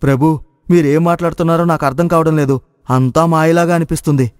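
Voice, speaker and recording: Man playing an excitable, higher-pitched village cowherd, reverberant. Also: fast talker, smooth, clean studio sound, lightly acted. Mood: respectful, bewildered. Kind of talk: Telugu moral story voice acting.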